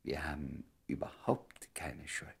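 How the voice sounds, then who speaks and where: A man's voice: extremely low pitch, little pitch movement, unhurried, vocal fry, slightly rough, whispered, heavily acted. An actor playing an ardent, devoted man wooing an old love in an intimate German radio drama, urgent and often murmuring. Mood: tender, hushed.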